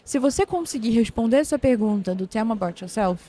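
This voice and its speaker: A woman's voice: fairly high pitch, lively intonation, fairly quick, mellow. A woman in her twenties from northern Brazil with a Pará accent, a guest explaining things animatedly in a podcast interview, full of restarts and "né". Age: 20s. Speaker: guest, operations manager. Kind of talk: podcast interview at a tech event.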